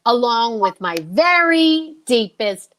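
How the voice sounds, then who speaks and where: A woman's voice: a little high, very animated, slow pace, fairly bright tone, heavily acted. A woman playing a polite, grateful, easily flustered lady friend in an old-time radio show, gracious and slightly fluttery.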